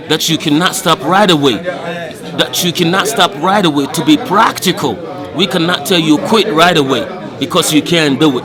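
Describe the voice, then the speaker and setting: A man from Ghana, talking outdoors in a city in an earnest, repetitive, preaching way, with some joking. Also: a little high. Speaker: community member. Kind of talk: casual preaching talk. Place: outdoors in a city among a crowd. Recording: clear crowd noise behind.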